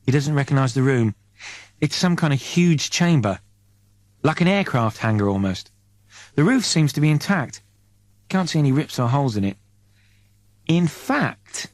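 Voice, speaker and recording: Guy narrating a tense audiobook, lively swings in a slightly high voice, super dry studio sound. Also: no echo. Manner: suspenseful, deliberate short phrasing. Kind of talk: dramatic scripted narration.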